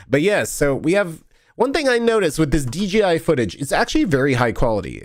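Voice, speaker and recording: A tired, sniffling man chats loosely to his stream audience and keeps restarting his sentences. His voice sits at a middle pitch but swings up and down in a lively way. The sound is dry and close, with no room around it. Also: no echo. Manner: rambling, self-correcting, chatty with restarts. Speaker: vlogger streaming a convention video review. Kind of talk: casual live-stream chat while editing.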